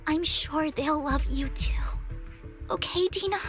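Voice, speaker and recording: A woman voicing a gentle, soft-hearted girl in an anime-style video game, earnest and pleading. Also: high voice, a little slow, faint music behind. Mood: pleading, hopeful.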